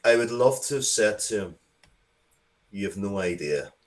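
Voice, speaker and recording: Very low-voiced man, casual and emphatic. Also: lively intonation, normal speed. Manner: emphatic, mildly sweary, repeats words for emphasis. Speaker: interview guest, writer. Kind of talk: casual, opinionated interview talk.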